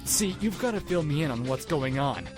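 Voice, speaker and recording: An actor playing a cool, cocky teenage amateur rapper with a laid-back, slangy manner in a web audio drama. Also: male voice, fairly high pitch, expressive, normal speed, music in the background, natural voice. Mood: musing.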